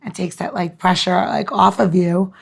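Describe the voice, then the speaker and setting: A woman's voice: fairly low pitch, fairly even intonation, normal speed, creaky, breathy edge. A woman co-hosting a podcast, playful and rambling, often restarting her sentences. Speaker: podcast co-host. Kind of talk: casual podcast chat.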